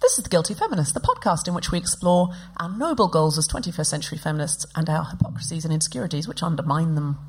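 A woman's voice: sits low, lively intonation, quick pace, few pauses. A woman co-hosting a comedy podcast, bantering with a live audience. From Australia. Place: live show on stage before an audience. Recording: noisy live stage recording.

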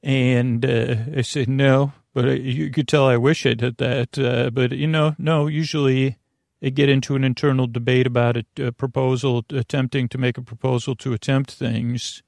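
A gravelly, fry-heavy male voice in a very dry recording. He hosts a sleep podcast as a soothing, rambling monologue. He stumbles over word starts, circles back and questions himself as he drifts between tangents. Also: mid-range voice, flat delivery, normal speed, darkish tone, no room sound. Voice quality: creaky. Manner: meandering, stammering, tangent-prone, self-questioning; soothing, lulling, drowsy delivery. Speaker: podcast host.

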